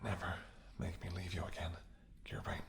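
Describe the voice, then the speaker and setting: A man's voice: sits low, steady tone, normal speed, vocal fry, a little hiss or hum. A man acting in a romantic audio roleplay as a devoted, stubborn ex-bodyguard, speaking earnestly and intimately with emotional intensity. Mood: soft, devoted. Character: devoted gruff ex-bodyguard, fiercely loving, stubborn and protective.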